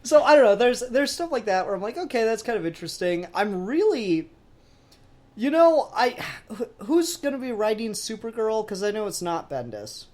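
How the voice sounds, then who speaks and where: A man co-hosting a casual discussion, animated and sweary, prone to acting out bits of dialogue. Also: high voice, normal speed. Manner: animated, profane, acts out quoted dialogue. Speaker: podcast co-host discussing comics. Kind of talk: casual chat.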